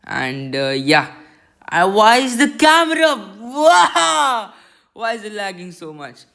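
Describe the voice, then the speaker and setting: Squeaky-voiced guy rambling thru a telescope review on his laptop mic, kinda high, clean sound. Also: big pitch swings, slow, creaky, sharp tone. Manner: rambling, self-conscious. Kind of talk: casual voice-over product review.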